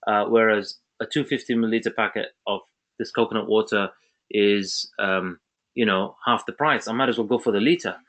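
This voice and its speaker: A man's voice: lowish voice, fairly even intonation, a little fast, a bit bright. A man from Zambia hosting an online webinar, talking casually with many restarts and fillers. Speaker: webinar host.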